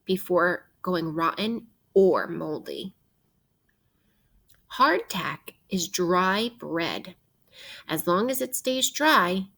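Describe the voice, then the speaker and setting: A woman with a slightly low voice reads aloud like a teacher to young children. She takes her time, very slow and deliberate, in an interactive, instructive style that invites listeners to think. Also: a bit bright. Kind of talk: interactive picture-book read-aloud.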